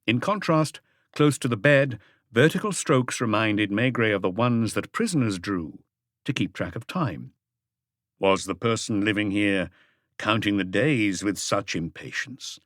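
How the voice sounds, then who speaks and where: Guy reading a mystery audiobook, lowish voice, really dry close sound. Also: animated, a little slow, fairly bright tone, dry recording. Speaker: audiobook narrator. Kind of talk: scripted mystery narration.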